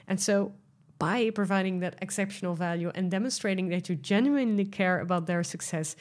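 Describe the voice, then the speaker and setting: A woman with a Dutch accent hosts her own solo podcast. She talks in a chatty, enthusiastic way, with lots of fillers and false starts. Her voice sits at a middle pitch. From Netherlands. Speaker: podcast host and sales coach.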